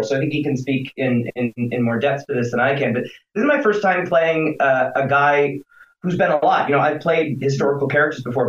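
A man, a stage actor, answers an interview question at length, talking animatedly with many restarts and fillers. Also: mid-range voice. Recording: distant roomy muffled sound.

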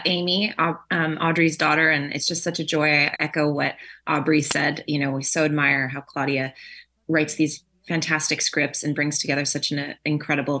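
A woman stage actor speaking softly, gushing and trailing off. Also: sits low, husky. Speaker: stage actress.

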